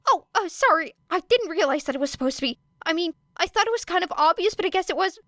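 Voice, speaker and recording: A woman reading a fan audiobook, voicing a nervous teenage boy who stammers and rambles in a raised, rushed voice. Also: very high voice, lively intonation, pushed and bright, no room sound, studio quality, voice pushed up, full character voice. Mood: flustered.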